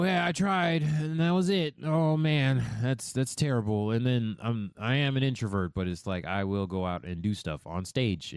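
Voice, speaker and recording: A man's voice: fairly low pitch, clean tone, dry recording, studio quality. A man hosting a podcast, talking loosely and profanely in casual commentary. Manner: animated, loose and profane, speaks fast. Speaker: podcast host.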